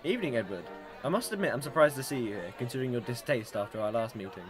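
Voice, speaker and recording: A man's voice: medium pitch, animated, very fast, music in the background, close to an everyday voice. A man acting in a radio drama as a mysterious, proud immortal being, with formal and aloof wording. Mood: grave, polite. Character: mysterious ageless immortal stranger, proud, coolly probing.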